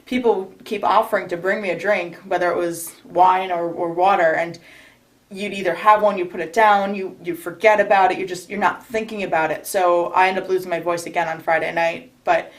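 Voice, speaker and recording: A woman's voice: fairly low pitch, steady tone, fairly quick, poor audio. Chatty young woman giving advice. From New York state. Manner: chatty, frequent restarts and fillers. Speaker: recently married bride, guest. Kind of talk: casual interview advice.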